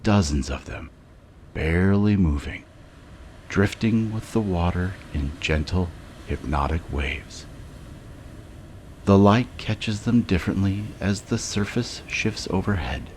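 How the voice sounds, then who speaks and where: A man with a low-pitched voice leads a guided meditation over clearly audible ambient noise. He reads scripted lines very slowly and in a measured way, leaving long pauses between short phrases. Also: animated, darkish tone.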